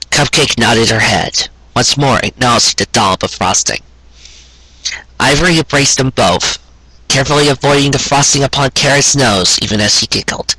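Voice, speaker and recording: Husky, bright-voiced man reading a story. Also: mid-range voice, dry recording, rough recording. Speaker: fan fiction audiobook reader. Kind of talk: scripted story narration.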